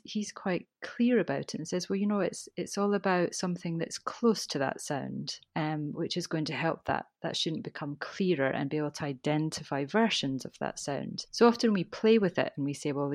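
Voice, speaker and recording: A woman's voice: low voice, no room sound, clean studio sound. A woman with a Scottish accent, a teacher trainer answering interview questions in a thoughtful way, restarting her sentences often.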